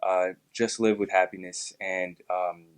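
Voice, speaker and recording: A young man from Miami answering interview questions in a measured way, with frequent ums and uhs. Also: sits low, little pitch movement, smooth. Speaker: guest, political club officer.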